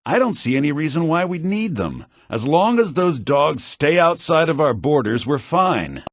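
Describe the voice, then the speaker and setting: An actor playing a haughty, grumbling fantasy townsman in a video game, theatrical and sardonic, in low-bitrate audio. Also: big pitch swings, normal speed, dry recording, clean studio sound. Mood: irritated. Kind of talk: video game character voice lines.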